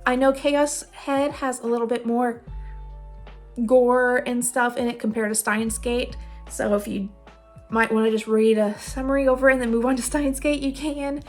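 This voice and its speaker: A woman's voice: fairly high pitch, steady tone, normal speed. A woman hosting a casual recommendation vlog, talking in an informal, rambling, self-deprecating way with a giggly manner. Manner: giggly, laughs often while talking. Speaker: video host giving game recommendations. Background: music playing under the voice.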